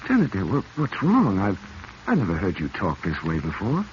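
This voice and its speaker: Casting: courteous devoted young man, low-pitched, old radio melodrama, hissy recording. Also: huge pitch swings, moderate pace, highs cut off. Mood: tender, pleading. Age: young adult. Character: earnest, polite young archaeologist and devoted suitor. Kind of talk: 1940s radio acting.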